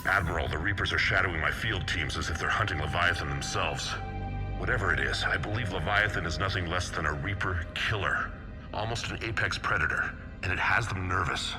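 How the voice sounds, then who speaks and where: Deep-voiced, earnest male scientist, played by an actor. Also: over music, natural voice. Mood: intent, urgent. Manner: measured, intent, explanatory. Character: earnest, driven senior male scientist, space-age doctor. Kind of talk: dramatic video game dialogue.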